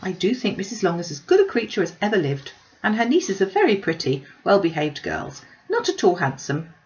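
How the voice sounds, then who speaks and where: A woman voicing an excitable, boastful middle-aged mother in a novel reading, gushing and effusive. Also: medium pitch, fairly quick, highs cut off, natural voice. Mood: gleeful, boastful.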